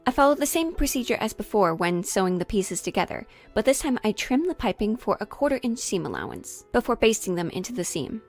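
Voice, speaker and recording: A woman's voice: mid-range voice, very animated, fairly quick, few pauses. Woman narrating a sewing project over music. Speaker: sewing vlogger.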